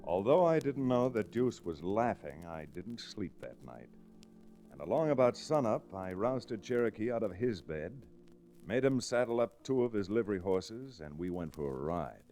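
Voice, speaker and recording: An actor playing a firm, suspicious frontier lawyer in an old-time radio Western, with dramatic, deliberate delivery. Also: male voice, on the low side, sing-song, warm tone, faint music behind. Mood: grave, brooding. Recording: noisy vintage radio recording.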